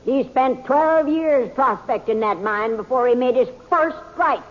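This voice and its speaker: A woman's voice: sits high, a little slow. An actress playing a stubborn old frontier woman who owns a silver mine and answers bluntly and defiantly, in a 1950s radio drama. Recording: echoey dull old radio recording.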